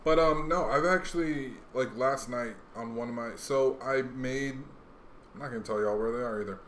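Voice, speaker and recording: An American man co-hosts a podcast in loose, casual talk full of slang and profanity. His mid-pitched voice wanders from point to point. The room echoes noticeably, with faint noise behind him. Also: moderate pace, fairly bright tone. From Hawaii, United States. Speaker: podcast co-host. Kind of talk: casual chat. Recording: roomy echo, faint background noise.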